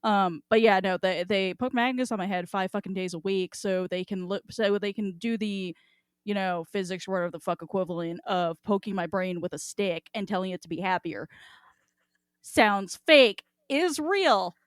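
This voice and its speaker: A woman hosts a chatty podcast with a smooth, clear voice at a middle pitch. She is informal and irreverent, swearing freely. The sound is dry, with very little room to it. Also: moderate pace, dry recording. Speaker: podcast host. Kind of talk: casual chat.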